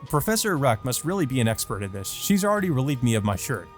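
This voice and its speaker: A man's voice: mid-range voice, sing-song, fast, faint music behind. A man hosting a let's play, reading a visual novel aloud with casual, amused, sometimes profane commentary.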